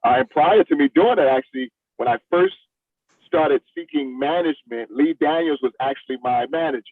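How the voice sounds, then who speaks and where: A middle-aged man from the United States talks casually as an interview guest. His speech is colloquial and conversational, at a middling pitch. He comes through small and phone-like, a little away from the mic, with very little room sound. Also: sing-song, fairly quick, no echo, distant mic. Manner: colloquial, addresses listener as "man".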